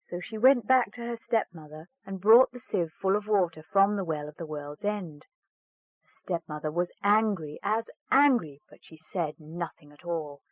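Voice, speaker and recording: A woman's voice: on the low side, moderate pace, no echo. A woman reading a fairy tale aloud as a storyteller, in scripted narration. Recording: narrow, phone-like band.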